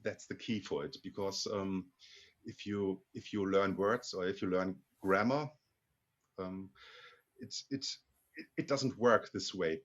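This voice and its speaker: A man from Germany with a German accent, a guest in a casual interview about learning languages, talking haltingly with many restarts and repeated words. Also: deep voice, muffled recording.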